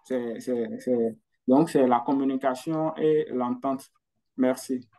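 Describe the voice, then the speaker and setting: A man's voice: medium pitch, steady tone, slow pace, dark tone. A man presenting in an online talk, answering a question off the cuff, hesitant, with many restarts and the tag "hein". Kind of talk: spontaneous explanation.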